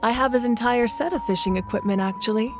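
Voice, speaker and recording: An actress playing a confident woman leader who tells stories in a calm, measured way, in a video game. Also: a little high, music in the background, close to an everyday voice. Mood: reflective. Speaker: Archon of the land. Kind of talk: game voice acting.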